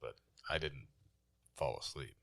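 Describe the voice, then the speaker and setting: A man playing a huge, dim-witted human prisoner in a tabletop game, speaking in halting, terse lines. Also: extremely low pitch, creaky, fairly bright tone, full character voice. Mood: blunt. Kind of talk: improvised tabletop role-play.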